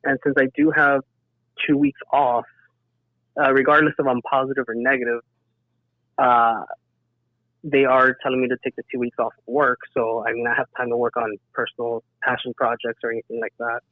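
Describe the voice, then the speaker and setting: A man speaks in an earnest, conversational way, as a guest giving his own account. His voice sits at a medium pitch. The sound is dry and narrow, like a telephone call. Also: level tone, moderate pace, dry recording. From south Texas, United States. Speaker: interview guest, emergency dispatcher. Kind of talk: casual personal account in a podcast interview. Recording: thin phone-like band-limited sound.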